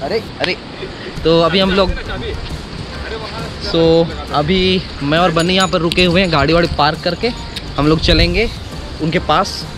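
A young man from Jharkhand, India, with a high voice talks eagerly to his viewers on a trip into the woods, calling them brother and friend. He records outdoors on an action camera in his hand. Clear music runs under his voice. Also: measured pace, long pauses. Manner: excited, chatty, addresses viewers as bhai and yaar. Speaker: travel vlogger. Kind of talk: casual travel vlog narration. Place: outdoors at a forest picnic spot by a waterfall. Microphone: action camera or phone held by the speaker.

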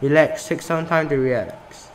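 A man's voice: fairly high pitch, animated, moderate pace, slight background noise, poor audio. A young man from Singapore who plays a game on camera, reads its questions aloud and reacts casually, often saying "bro". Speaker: gaming YouTuber. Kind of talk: casual gameplay commentary. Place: small room indoors.

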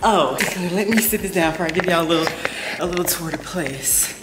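A woman's voice: fairly quick, very noisy. A woman vlogger giving a chatty, upbeat tour of her new apartment, filming herself with a handheld camera. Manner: chatty, upbeat, says "boom" and "period" a lot. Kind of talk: casual apartment tour vlog. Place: empty apartment, indoors. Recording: echoey empty room with music behind. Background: background music.

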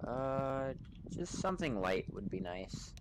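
A man's voice: medium pitch, very animated, takes it slowly. A young man chatting casually in character as a bar customer in improvised game role-play over voice chat. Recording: faint background noise on game voice chat.

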